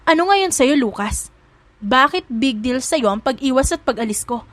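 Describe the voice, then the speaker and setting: Woman performing young maid, feisty, high voice, emphatic scolding, lovestruck and hurt, romance audio drama, dry close sound. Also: lively intonation, moderate pace, fairly bright tone, no echo. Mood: angry, hurt.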